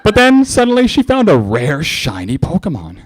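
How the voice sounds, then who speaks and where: Animated, sardonic man reading aloud. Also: fairly high pitch, moderate pace. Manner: theatrical, sardonic, with mocking asides. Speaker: fan fiction reader at a convention. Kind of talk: live comic dramatic reading.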